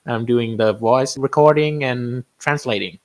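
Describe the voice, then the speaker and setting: A man's voice: mid-range voice, unhurried. A young man with a Thai accent, a guest voice actor chatting casually and thoughtfully on a podcast. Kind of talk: casual chat.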